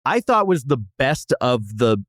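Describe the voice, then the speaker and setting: A man's voice: mid-range voice, very animated, measured pace, dry recording, clean studio sound. An American man from Texas hosting a podcast, chatting casually and giving wry, exaggerated comic complaints as he reviews food. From Texas, USA.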